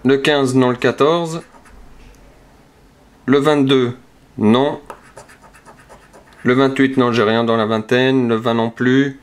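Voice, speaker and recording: Slow, methodical man. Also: mid-range voice, fairly even intonation, clear, resonant tone. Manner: slow, methodical, talks through each number. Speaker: youtuber scratching lottery tickets. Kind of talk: casual narration while scratching cards. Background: scratching sounds.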